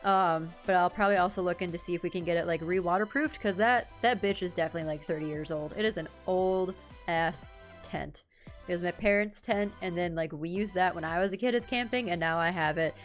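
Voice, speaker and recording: A woman's voice: fairly low pitch, brisk, faint music behind. A woman in her twenties chatting casually with her live chat, rambling and laughing often. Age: 20s. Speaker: streamer.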